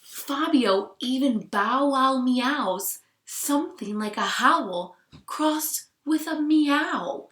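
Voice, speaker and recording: A woman with a fairly high voice reads a picture book to a class at a very unhurried pace. She is expressive and drops into hushed asides to the children. The room around her sounds echoey. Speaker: teacher reading aloud to class. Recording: roomy, echoing sound.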